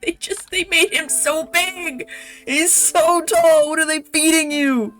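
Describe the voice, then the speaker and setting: A woman's voice: very high-pitched, fairly even intonation, slow, a bit bright, soft background music, low-quality recording. A woman streaming a video game, commenting in an informal, chatty, amused way. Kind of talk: casual live stream commentary.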